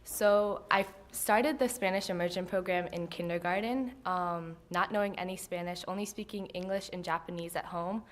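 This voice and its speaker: A teenage high school senior speaking in a friendly, casual way at a school board meeting, into a podium mic. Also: female voice, fairly even intonation, normal speed, few pauses. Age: teens. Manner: friendly, casual, smiling, uses like. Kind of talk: prepared public remarks. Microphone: podium microphone.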